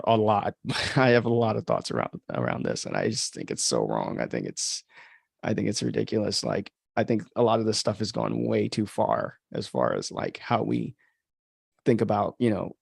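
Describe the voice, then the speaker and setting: A man's voice: lowish voice, vocal fry, no room sound, studio quality. A man from the United States giving casual, opinionated, rambling livestream commentary as a podcast host. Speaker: podcast host and author commenting on own story.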